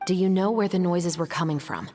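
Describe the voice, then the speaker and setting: A woman voicing a composed, methodical young female investigator in a video game, measured and politely firm, over music. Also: lowish voice, a little mellow, a little hiss or hum, natural voice. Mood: calm, probing. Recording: music playing behind the voice.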